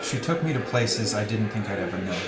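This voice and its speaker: A man's voice: on the low side, lively intonation, fairly quick, natural voice. Man acting a giddy, doomed man, roomy echo. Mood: wistful sorrow. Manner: giggly, theatrical, blunt. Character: sickly, freckled, grieving yet giddy tipsy man, wryly blunt. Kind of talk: dramatized novel reading. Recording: roomy echo, loud music behind.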